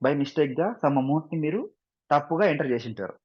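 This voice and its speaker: Fast-talking Indian man giving a tutorial. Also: a little high, breathy edge, lo-fi sound. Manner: repetitive, frequent check-in questions, mixes English terms into speech. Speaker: software tutorial instructor. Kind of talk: screen-share tutorial, informal explanation.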